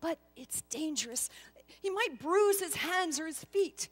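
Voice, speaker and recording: A woman's voice: extremely high pitch, expressive, unhurried, in a large hall, voice pushed up. A woman storyteller voicing a proud, wealthy male wise man with an emphatic, declamatory manner. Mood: shocked, protesting.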